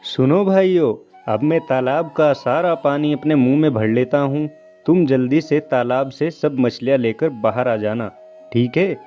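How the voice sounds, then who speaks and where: A man's voice: medium pitch, measured pace, dull audio, faint music behind, close to an everyday voice. A man playing an elder brother in a cartoon story, giving direct instructions. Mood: instructing. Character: bossy elder brother in a fishing family.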